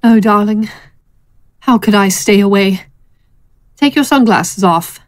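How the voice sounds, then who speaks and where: An actress as a seductive, sardonic woman in an audio drama, the insistent secret lover. She speaks slowly and deliberately with a pointed, teasing edge. The recording is dry. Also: mid-range voice, no echo, natural voice. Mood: tender.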